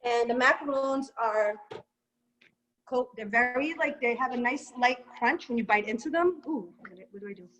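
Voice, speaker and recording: A woman chats casually and praises every dessert with open enthusiasm. Her voice is a touch high, and she talks in a relaxed way. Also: normal speed, slight background noise, low-quality recording. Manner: gushing, effusive praise. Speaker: co-presenter and taster.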